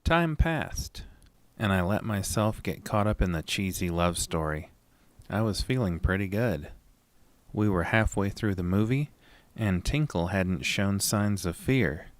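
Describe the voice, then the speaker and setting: A man playing an anxious, haunted narrator in a first-person horror audio drama, deliberate and suspenseful. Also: deep voice, animated, no echo. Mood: easygoing.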